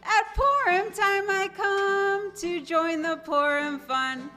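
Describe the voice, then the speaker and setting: A woman's voice: extremely high pitch, slow pace, bright, forceful tone, soft background music, pitched above the performer's own voice, full character voice. A woman singing as a chosen queen in a children's puppet show. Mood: proud singing.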